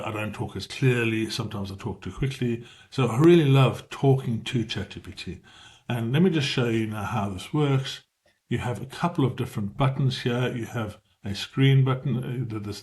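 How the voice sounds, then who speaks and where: A man's voice: medium pitch, animated, normal speed, a little mellow. South African man explaining casually. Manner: informal, explanatory, self-correcting as he talks. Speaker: tutorial presenter. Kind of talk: casual screen-share tutorial.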